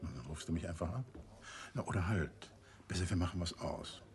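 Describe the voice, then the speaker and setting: A man's voice: deep voice, fairly quick, close to an everyday voice. An actor playing a composed, courteous male detective in a radio crime drama. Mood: relaxed.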